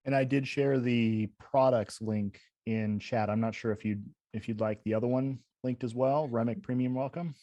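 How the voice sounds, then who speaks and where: Male webinar moderator, casual and helpful. Warm, dark tone, close dry sound on a slightly muffled online call. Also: medium pitch, fairly even intonation, moderate pace, few pauses, dry recording.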